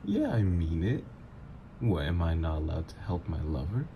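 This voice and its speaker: A man's voice: low-pitched, sing-song, normal speed, dull audio, heavy background noise. A man voicing a teasing supervillain ex. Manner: teasing, playful, flirtatious. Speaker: voice actor. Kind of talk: ASMR roleplay audio.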